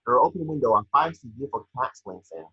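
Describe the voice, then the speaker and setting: A man's voice: medium pitch, expressive, fast talker, slightly rough. A man reading scripted scene descriptions as narrator, stumbling now and then. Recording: echoey, muffled home microphone.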